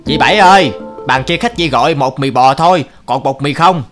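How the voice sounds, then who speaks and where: A man acts as a polite, eager noodle-shop waiter in a cartoon. He sounds obliging and good-natured. Clear music runs underneath. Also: medium pitch, moderate pace, a bit bright, lightly acted. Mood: calling out. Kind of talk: cartoon voice acting.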